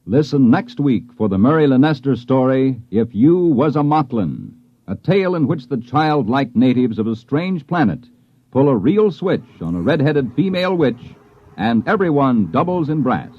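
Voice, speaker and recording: Husky lively vintage radio announcer man. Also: medium pitch, moderate pace, dry recording. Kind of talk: 1950s scripted radio announcing. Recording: dull, dry vintage broadcast.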